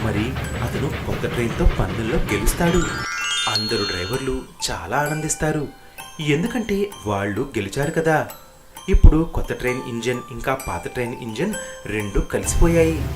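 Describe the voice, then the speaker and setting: Storybook narrator, children's moral tales, scripted delivery, lively pitch swings, soft music bed. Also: moderate pace.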